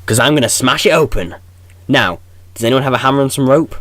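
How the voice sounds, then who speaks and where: A man's voice: mid-range voice, fairly quick, a bit bright, no echo, close to an everyday voice. A man playing a flippant, eccentric time-travelling hero in an audio drama, glib and insistent.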